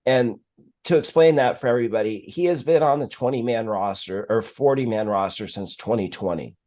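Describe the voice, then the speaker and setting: A man hosting a casual sports talk stream, explaining things in a measured, analytical way. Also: mid-range voice, a little mellow. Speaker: sports live stream host.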